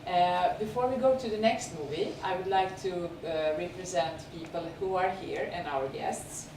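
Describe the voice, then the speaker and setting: A woman with a Serbian accent hosting a film festival, speaking unscripted and haltingly as she searches for words. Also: fairly low pitch. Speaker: film festival host introducing films and guests. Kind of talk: unscripted spoken introduction and interview. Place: echoey screening venue. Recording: echoey, noisy room, far from mic.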